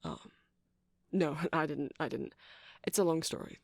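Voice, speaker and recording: A soft-spoken man doing a rambling ASMR roleplay as a shopkeeper, hesitant and apologetic in manner. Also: a little high, big pitch swings, a little slow, gravelly, no room sound, studio quality. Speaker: character performer playing a shopkeeper.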